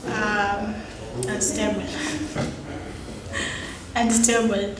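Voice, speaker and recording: A woman of twenty-one giving candid interview answers as a student, hesitant and halting. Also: mid-range voice, flat delivery. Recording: roomy, distant mic, clear background noise.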